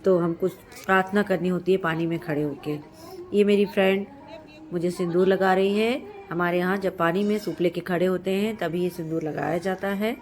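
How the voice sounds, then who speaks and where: A hoarse woman in her thirties from central India, narrating a vlog outdoors on a rooftop and explaining the customs with devotion. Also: on the low side, steady tone, normal speed. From Madhya Pradesh, India. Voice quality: husky. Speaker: vlogger.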